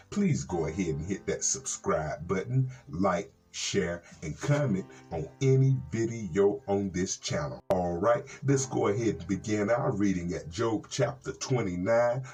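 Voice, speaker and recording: An American man, a reverend hosting a Bible program, reads scripture and commentary in a measured, earnest way with folksy asides. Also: fairly low pitch, darkish tone. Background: plucked guitar music.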